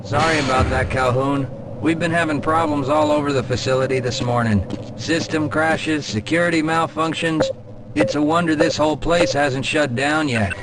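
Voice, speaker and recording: An actor playing a weary, easygoing security guard in a video game, speaking conversationally. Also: male voice, fairly high pitch, moderate pace, heavy background noise, close to an everyday voice. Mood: weary, apologetic. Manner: conversational, wearily apologetic.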